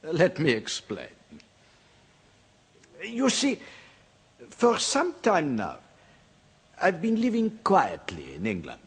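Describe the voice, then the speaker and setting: An actor playing a suave, sinister French doctor in a radio horror drama, with a French accent, a husky voice and over-polite manners. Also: male voice, on the high side, huge pitch swings, heavily acted. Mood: smugly explaining. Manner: unhurried, over-polite, hesitating delicately. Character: suave, sinister French doctor, a fugitive killer, mockingly courteous. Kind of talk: old-time radio horror drama acting.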